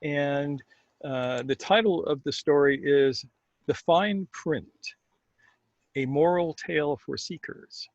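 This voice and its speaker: Higher-voiced male storyteller, close and dry. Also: takes it slowly, no room sound, highs cut off. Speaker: storyteller and co-host. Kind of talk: expressive story reading.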